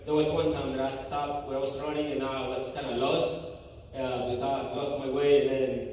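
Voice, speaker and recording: A man from Guatemala with a Guatemalan Spanish accent, a native Spanish speaker, giving a halting church testimony full of fillers and restarts. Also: medium pitch, flat delivery, darkish tone. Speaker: mission team member giving a church report. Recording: echoey church hall from far off.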